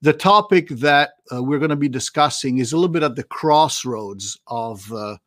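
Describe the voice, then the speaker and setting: A man's voice: mid-range voice, moderate pace, no room sound, studio quality. Italian-accented man, chatty on a video call. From Italy. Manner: chatty and animated, with many fillers and restarts. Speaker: guest doctor presenting a webinar. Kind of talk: casual webinar presentation. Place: home office with bookshelves. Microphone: video call with desk mic.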